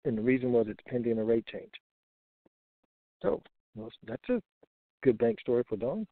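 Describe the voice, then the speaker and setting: A man's voice: medium pitch, animated, moderate pace, no echo. Man on a dry, dull conference line. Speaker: co-host reading out listener questions. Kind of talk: casual conference call moderation. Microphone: phone conference line.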